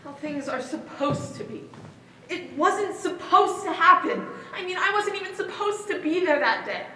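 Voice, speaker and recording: A woman's voice: extremely high pitch, lively intonation, normal speed, bright, forceful tone, exaggerated voice. A woman playing an anguished, haunted young woman in a theatrical stage monologue. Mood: distraught. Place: stage in a hall. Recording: echoey stage hall, faint noise.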